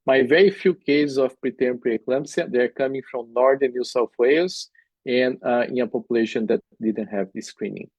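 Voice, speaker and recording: Brazilian guy presenting medical slides, kinda husky, lots of uh's, dry close mic. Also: mid-range voice, moderate pace, darkish tone, dry recording, rough recording. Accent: Brazilian Portuguese. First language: Portuguese. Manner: earnest lecturing, many "uh" fillers, non-native phrasing. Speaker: obstetric specialist presenting at a workshop.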